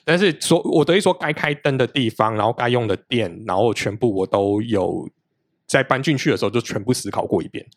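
A man's voice: medium pitch, lively intonation, a little fast, no room sound, studio quality. A man in his late thirties, a podcast guest in casual chat, explaining things at length in a practical, detailed way.

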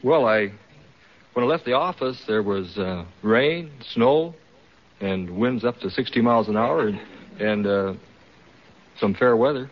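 A man from Seattle giving polite, halting answers as a contestant on an old radio show. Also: medium pitch, expressive. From Seattle, United States. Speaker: contestant, weather forecaster. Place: radio studio with audience. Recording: dull old radio recording, faint audience.

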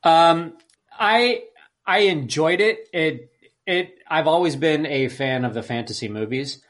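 A man's voice: fairly high pitch, a little slow, fairly bright tone. A man co-hosting a podcast, chatting casually in a hesitant, rambling way. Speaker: podcast co-host. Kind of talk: casual panel chat.

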